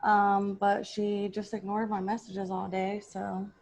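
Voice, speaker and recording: A woman's voice: medium pitch, monotone, takes it slowly, darkish tone. A young American woman chatting casually, with slangy, freely swearing talk. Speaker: podcast cast member. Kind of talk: casual chat.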